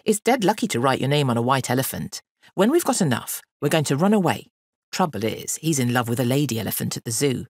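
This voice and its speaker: A woman plays a young scamp from a children's story. He is breezy, glib and falsely innocent, with very lively pitch movement and a close, dry sound. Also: quick pace, slightly rough, dry recording, exaggerated voice. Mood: breezy scheming. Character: cheeky, innocent-sounding scheming young boy elephant handler.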